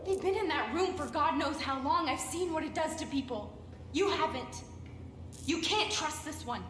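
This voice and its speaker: A hot-tempered militia fighter in a video game. Her high voice is emphatic and scolding, echoing hard in a space with clear background noise. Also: animated, normal speed, fairly bright tone. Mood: angry. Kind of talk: video game cutscene dialogue.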